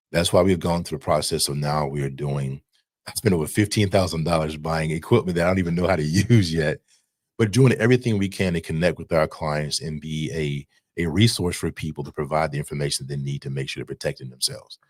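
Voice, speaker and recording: A man with a strikingly deep, bass voice talks in an informal advisory style. He is emphatic, repeats points for weight and often checks in with the listener. The sound is dry, with very little room in it. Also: brisk, no room sound. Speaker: insurance broker giving advice. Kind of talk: informal advisory talk to camera.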